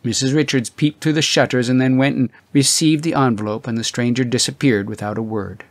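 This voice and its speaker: A man reading literary fiction as an audiobook narrator in a measured, scripted style. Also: mid-range voice, steady tone, no room sound.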